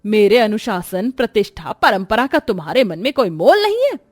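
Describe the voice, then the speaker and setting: A woman's voice: medium pitch, sing-song, normal speed, dry recording, clean studio sound. A woman voicing a strict, middle-aged mother-in-law in a moral-story cartoon, indignant and domineering as she lectures. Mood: outraged.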